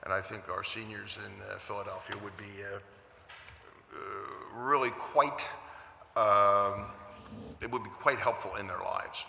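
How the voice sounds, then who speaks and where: Older man, committee chair, gravelly, very bright and forceful voice, halting speech, echoey chamber. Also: on the low side, slight background noise. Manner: halting, many fillers and repeated words; loud voice. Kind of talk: closing remarks at a public hearing. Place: city council hearing room. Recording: echoey council chamber with long reverb.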